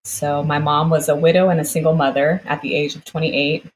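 A woman's voice: deep voice, level tone, brisk, a little hiss or hum, poor audio. A woman from the United States, born in Brazil, telling a personal story conversationally as an interview guest, quoting people as she goes.

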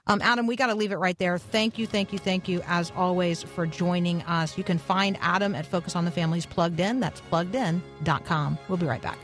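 A woman hosting a radio show, speaking earnestly and deliberately as she comments and moves the program along. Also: fairly low pitch, over music. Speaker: radio host. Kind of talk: live radio interview and commentary.

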